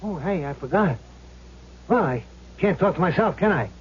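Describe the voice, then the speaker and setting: An actor playing a brash, vengeful ghost of a gangster in an old radio drama, bossy and sneering, on a muffled, noisy recording. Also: male voice, high-pitched, animated, normal speed, husky, heavily acted. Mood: bossy, cocky. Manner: brash, bossy, sneering tough-guy slang. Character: cocky vengeful ghost gangster possessing another man's body.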